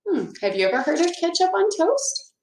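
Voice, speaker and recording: A woman voicing a kindly old grandfather giving gentle, reassuring advice in a storybook. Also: very high voice, sing-song, moderate pace, pitched above the performer's own voice, exaggerated voice. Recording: roomy, echoing indoor sound.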